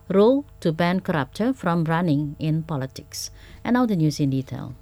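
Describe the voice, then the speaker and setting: A woman's voice: medium pitch, a little mellow, no echo. A woman news presenter with an Indonesian accent, reading scripted radio news.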